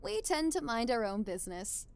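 Woman voicing a calm student council girl. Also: on the high side, normal speed, creaky, clean tone. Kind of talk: amateur anime fan dub.

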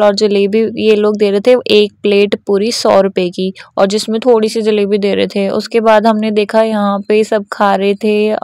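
A woman's voice: medium pitch, dry recording. A young woman hosting her own vlog, chatting casually and cheerfully as she walks viewers through a food festival, holding the phone camera at arm's length. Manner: chatty, upbeat, mixes English phrases into speech. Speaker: vlogger, channel host. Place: outdoor festival grounds. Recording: loud music and crowd behind.

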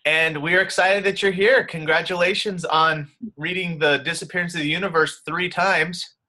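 Bright, upbeat man hosting a call. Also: on the high side, steady tone, normal speed. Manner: upbeat, welcoming. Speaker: host. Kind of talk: casual group video call. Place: home office.

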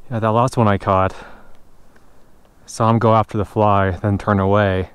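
A man's voice: fairly low pitch, flat delivery, moderate pace, no echo. An American man talking casually and informally for a fishing vlog, in short understated remarks, on an action camera outdoors by a lake. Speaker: angler and vlogger. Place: outdoors on a mountain lakeshore. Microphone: action camera worn or held at arm's length. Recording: outdoor action-camera audio with clear background noise.

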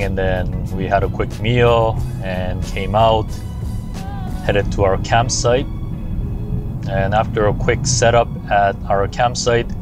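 A man with a low voice hosts a travel vlog in voice-over. He speaks slowly, in a casual and understated way. Music plays clearly behind him throughout. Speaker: vlog host narrating a road trip.

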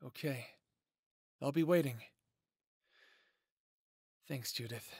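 A man's voice: mid-range voice, slow, a little mellow, slightly rough, no room sound, studio quality. A man playing an earnest lone male werewolf who longs for family, speaking sincerely in an audio drama. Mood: resolved.

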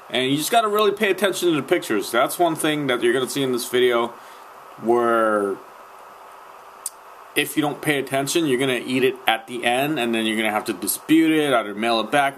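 A young man talking casually into a webcam in a small room, rambling along with plenty of ums and restarts. His voice sits at an average pitch. Faint room noise hums underneath. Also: poor audio. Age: young adult. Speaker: sneaker vlogger. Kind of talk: casual vlog talk to camera. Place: small room indoors at night. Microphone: webcam at close range.

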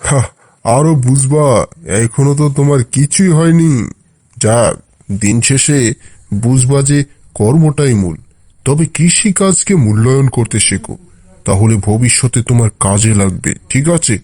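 Man as a mellow, folksy village farmer. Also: medium pitch, unhurried, long pauses, natural voice. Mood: advising. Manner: folksy, dismissive. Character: contented unschooled village farmer giving blunt advice. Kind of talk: Bengali cartoon folk-tale voice acting.

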